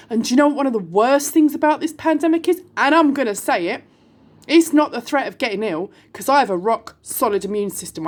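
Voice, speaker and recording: A British woman in her forties, from the UK, speaking bluntly to her viewers in a candid monologue, full of rhetorical questions and the odd swear word. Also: fairly high pitch. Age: 40s. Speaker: vlogger.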